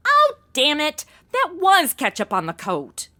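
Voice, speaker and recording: A brash young modern woman in a comic audio drama. Her voice is high and bright, swinging freely in pitch. She is ditzy, blunt and full of slang. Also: huge pitch swings, unhurried, exaggerated voice. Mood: sassy, clueless. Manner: blunt, slangy, valley-girl phrasing. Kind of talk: comedic audio drama acting.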